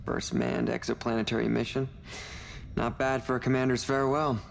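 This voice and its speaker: A man's voice: mid-range voice, level tone, fairly quick, creaky. An actor playing a laid-back, probing space crewman in a game, casual and a little sardonic. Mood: admiring. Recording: long hall reverb, faint noise.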